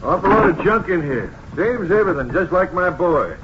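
An actor playing a plain-spoken highway patrol captain, an informal and brief-speaking partner, in a 1950s radio drama. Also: male voice, expressive, moderate pace. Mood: plain, businesslike. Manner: informal, folksy, brief remarks. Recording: noisy, dull 1950s radio recording.

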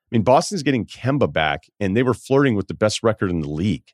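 Male sports host, lower register, conversational commentary, dry recording. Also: big pitch swings, fast talker, a little mellow, no room sound.

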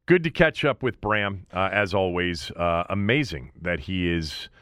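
A man's voice: low-pitched, lively intonation, measured pace, fairly bright tone, no room sound, studio quality. A man hosting a sports show, talking casually and repeating himself for emphasis. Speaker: sports talk show host.

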